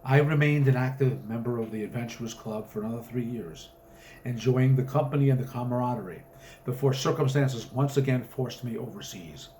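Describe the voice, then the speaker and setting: A man's voice: mid-range voice, fairly quick, darkish tone. Man reading a story aloud, noisy recording. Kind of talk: scripted story narration.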